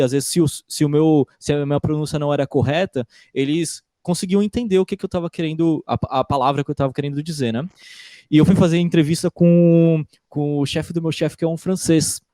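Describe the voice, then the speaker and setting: A young man from Brazil, a guest engineer in a casual interview, talking in a relaxed way with many restarts. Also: fairly high pitch, dry recording, clean studio sound. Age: young adult.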